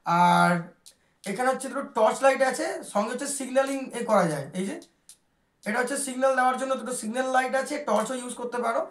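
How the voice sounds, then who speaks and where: A woman's voice: a little high, quick pace. A woman giving a casual, chatty unboxing commentary, speaking straight to viewers with English words mixed in. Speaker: vlogger presenting a product. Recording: echoey room sound.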